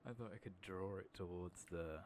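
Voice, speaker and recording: A man giving soft-spoken, partly whispered ASMR commentary as he plays a game, muttering to himself in a halting, unhurried way. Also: sits low. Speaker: gaming streamer. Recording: clearly noisy, game ambience and clicking.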